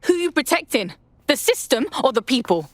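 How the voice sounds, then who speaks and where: A woman's voice: sits high, big pitch swings, fairly quick, no room sound. An actress playing a brash, foul-mouthed female hacktivist in a video game, blunt and streetwise. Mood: challenging.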